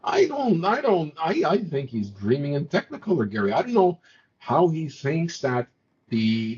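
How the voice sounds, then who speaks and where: A man's voice: medium pitch, a little mellow, highs cut off, slight background noise. A man from Montreal whose first language was not English, talking politics on a talk show in an exasperated way, often stumbling and restarting his words. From Montreal, Quebec. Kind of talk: political commentary chat.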